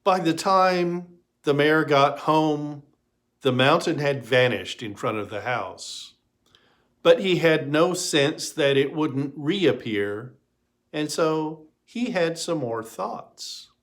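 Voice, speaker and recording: A man reading a story aloud in an expressive storybook narration style. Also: on the high side, slow pace.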